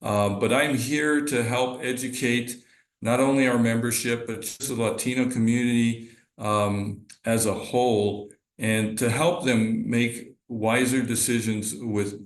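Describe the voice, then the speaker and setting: A middle-aged man, a financial advisor, speaking as a guest on an online training call, earnest and halting, often restarting his sentences. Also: medium pitch, fairly even intonation. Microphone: video call. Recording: roomy echo on call audio.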